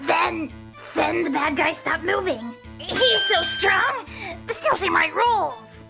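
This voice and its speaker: A woman voicing a brash, excitable young boy witness in a fan-dubbed courtroom drama, with cheeky, slangy delivery. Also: extremely high pitch, slow pace, gravelly, music in the background, full character voice. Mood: excited. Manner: cheeky, slangy, stammers when cornered. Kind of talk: fan dub courtroom game acting.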